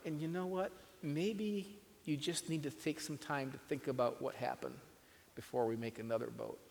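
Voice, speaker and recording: A man voicing a gentle, patient father. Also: mid-range voice, fairly even intonation, moderate pace, vocal fry, a little hiss or hum, close to an everyday voice. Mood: calm. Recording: long hall reverb.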